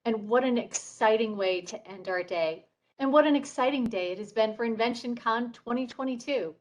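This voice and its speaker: Upbeat woman moderator, fairly high voice. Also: low-quality recording. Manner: polished and upbeat, reading prepared remarks. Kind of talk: formal event introduction.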